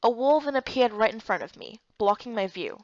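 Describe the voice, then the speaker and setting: A woman's voice: on the high side, expressive, fairly quick, dry recording, highs cut off. A woman reading scripted first-person story narration for a game playthrough.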